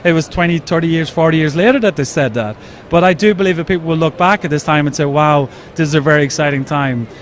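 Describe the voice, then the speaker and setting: A man's voice: on the high side, quick pace. An Irish man explaining his company's product enthusiastically in an interview at a trade show. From Ireland. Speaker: company representative.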